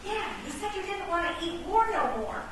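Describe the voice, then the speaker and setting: An actress playing a plucky, indignant revolutionary pawn in a radio play, with a forthright, theatrical delivery. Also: female voice, very high-pitched, big pitch swings, moderate pace. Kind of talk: stage radio-play acting. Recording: echoey hall, distant mic, background noise.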